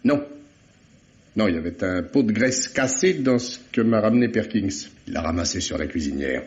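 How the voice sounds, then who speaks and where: A man's voice: lowish voice, lively intonation, moderate pace, darkish tone, lightly acted. A man playing a grumbling, sardonic forensic expert in a vintage radio mystery, full of weary sarcasm. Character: sardonic, grumbling male forensic expert, detective's old colleague. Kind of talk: 1950s French radio mystery acting. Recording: dull, band-limited old radio drama recording.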